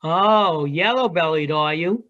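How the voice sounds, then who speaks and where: A woman acting as a teasing, worldly adult seagull in an amateur virtual one-act play, with drawn-out delivery. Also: sits low, a bit bright. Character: teasing, worldly adult seagull perched high above the city. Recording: dull lo-fi video call audio.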